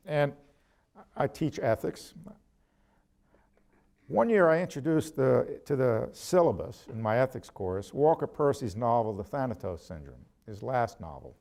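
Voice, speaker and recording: An older man delivers an academic lecture in a mid-range voice. He reads from prepared remarks in deliberate, measured phrases, pausing for emphasis and stepping aside from the text now and then. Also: mid-range voice, a little mellow. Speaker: ethics professor giving a lecture.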